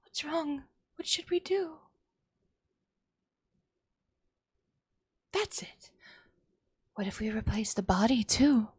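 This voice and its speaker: A woman's voice: high-pitched, big pitch swings, measured pace, breathy edge, no room sound, clean studio sound, pitched above the performer's own voice, heavily acted. A woman voicing a maniacal, doting mother in a visual novel, theatrical, sweetly coaxing and threatening. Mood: anxious, scheming. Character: maniacal doting mother, cloyingly cheerful and menacing.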